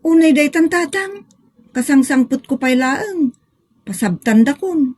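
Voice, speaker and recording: A woman's voice: high voice, expressive, unhurried, darkish tone. A woman playing a plaintive, cheeky young daughter with an Ilocano accent, complaining expressively in a comedic audio drama.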